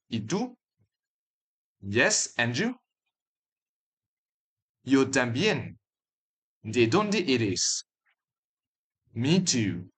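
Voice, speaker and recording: A man's voice: medium pitch, a bit bright, slightly rough. A man narrating a language lesson as a teacher, reading phrases out for learners to repeat.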